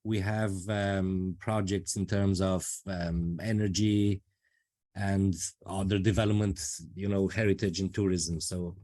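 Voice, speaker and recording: A man from Romania who speaks English as a second language, a guest talking through his work in a relaxed, thoughtful way with many pauses and fillers. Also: low voice. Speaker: project manager, guest. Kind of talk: casual interview.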